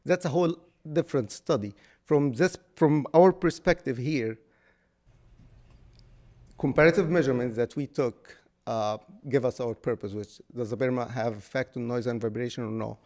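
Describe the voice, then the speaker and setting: A man from Egypt, an engineering consultant, explaining technical findings at a public hearing in a loud voice with frequent restarts and fillers. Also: medium pitch, moderate pace.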